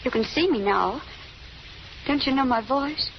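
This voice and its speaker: Fugitive heroine, high voice, guarded and earnest, old radio melodrama through noise. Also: female voice, a little slow. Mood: evasive. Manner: hesitant, earnest, broken phrases. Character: troubled, guarded young woman hiding from the law. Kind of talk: 1930s radio melodrama acting. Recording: muffled, noisy 1930s radio recording.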